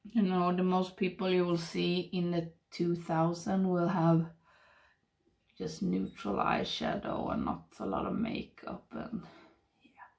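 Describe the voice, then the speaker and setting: A woman in her thirties with a Swedish accent, chatting and murmuring softly to the camera while doing her makeup at home. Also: lowish voice, expressive, slow pace, creaky. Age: 30s. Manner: soft, rambling, murmuring while applying makeup. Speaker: beauty vlogger. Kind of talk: casual get-ready-with-me chat. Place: home makeup room, indoors. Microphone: webcam framing at desk.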